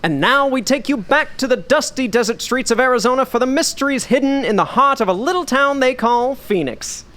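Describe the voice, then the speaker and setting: An actor playing a suave, theatrical 1940s radio announcer with a showy, dramatic delivery. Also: male voice, very high voice, expressive, a little fast, few pauses, fairly bright tone. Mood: dramatic, intriguing. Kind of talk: 1940s radio acting, scripted narration.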